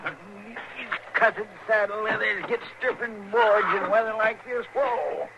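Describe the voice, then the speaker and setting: A very high-voiced, earnest jail helper with a rural American accent. He sounds folksy, plaintive and deferential in an old western radio play with heavy background noise. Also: slow, gravelly, muffled recording, heavily acted. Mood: grumbling. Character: earnest, hapless, deferential male jailhouse helper. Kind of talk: 1950s western radio drama acting. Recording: noisy old radio recording.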